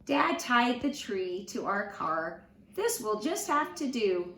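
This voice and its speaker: A woman reading a children's storybook aloud in an expressive, animated storytelling manner. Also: mid-range voice. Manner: slow, animated storytelling with acted voices. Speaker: storybook reader. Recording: roomy, distant mic with faint noise.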